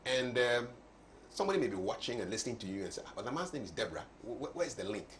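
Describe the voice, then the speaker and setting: A Ghanaian man hosting an interview, putting probing questions haltingly and repeating words as he goes. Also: mid-range voice, big pitch swings, slight background noise. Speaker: interviewer, show host. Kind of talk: conversational interviewing.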